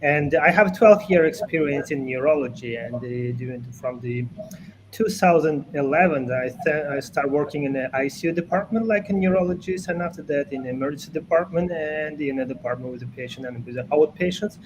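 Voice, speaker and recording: A man from Russia with a Russian accent, a neurologist guest on a live online talk show, explaining carefully and haltingly over a video call. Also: a little high, noisy background.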